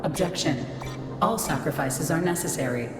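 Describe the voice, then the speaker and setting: A woman's voice: low-pitched, normal speed, natural voice. A woman voicing a cold computer system in a game, with clipped, emotionless, formal delivery. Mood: cold, impassive. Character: cold female computer system guarding human civilization. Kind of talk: scripted game voice acting. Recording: long echoing reverb, music behind.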